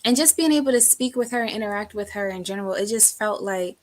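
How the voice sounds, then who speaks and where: A woman's voice: mid-range voice, quick pace. A woman, an actress guest on a talk show, answering earnestly and rambling with many fillers. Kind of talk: casual interview answer.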